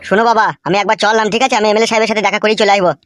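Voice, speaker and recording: A woman's voice: mid-range voice, little pitch movement, very fast, soft background music, lightly acted. A woman voicing an impatient, foul-mouthed young village son in a comic cartoon, brusque and threatening.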